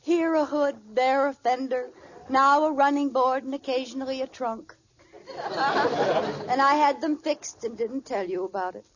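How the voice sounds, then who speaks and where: Woman acting a high-pitched melodramatic housewife, old radio. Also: moderate pace, a crowd in the background, close to an everyday voice. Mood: solemn confessing. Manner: melodramatic, theatrical. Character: dramatic scheming housewife who believes she is dying. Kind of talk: 1940s radio sitcom acting. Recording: muffled, noisy old-time radio recording.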